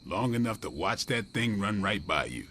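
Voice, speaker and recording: Low-voiced, cool brooding hunter. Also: male voice, very animated, moderate pace, sharp tone, slight background noise. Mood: cool, amused. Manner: laconic, wry. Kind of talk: video game character acting.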